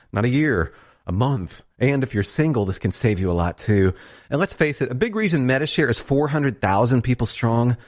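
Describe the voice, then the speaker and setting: A male announcer with a medium-pitched voice reads a radio commercial. The delivery is scripted but conversational and persuasive.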